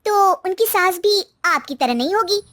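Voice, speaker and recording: Woman acting a sassy, very high-voiced daughter-in-law. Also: expressive, normal speed, fairly bright tone, slight background noise. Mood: mocking. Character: cheeky, quick-retorting young daughter-in-law.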